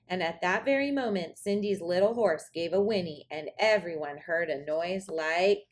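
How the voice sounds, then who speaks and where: A woman narrating a children's storybook aloud in an expressive read-aloud style. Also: fairly low pitch, normal speed. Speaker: storybook reader.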